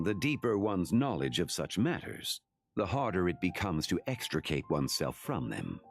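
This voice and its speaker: A man playing an ancient, composed sage in a video game, speaking formally and with measured gravity. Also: deep voice, heavily acted. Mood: solemn.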